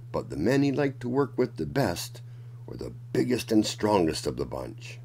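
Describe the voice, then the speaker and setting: A man reading a children's picture book aloud as a storyteller, in a deliberate, dramatic manner. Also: low voice, mellow, a little hiss or hum. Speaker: children's storyteller and channel host.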